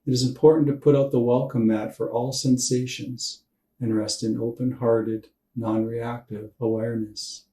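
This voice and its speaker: Mellow, echoey man guiding meditation. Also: medium pitch, a little hiss or hum. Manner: calm, unhurried, gentle instruction with long pauses. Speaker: meditation guide. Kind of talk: guided body scan meditation for sleep. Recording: roomy echo, distant from mic.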